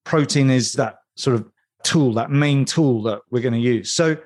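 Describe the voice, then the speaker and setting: A man co-hosting a discussion, setting up the topic in a measured, structured way. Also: mid-range voice, a little mellow. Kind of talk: conversational expert discussion.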